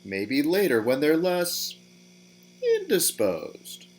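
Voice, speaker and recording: A man's voice: on the high side, very animated, slow. A man playing a suave, vain demon official in an audio drama, giving a theatrical, formal, self-satisfied speech. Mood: smug, gracious. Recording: mains hum, home recording.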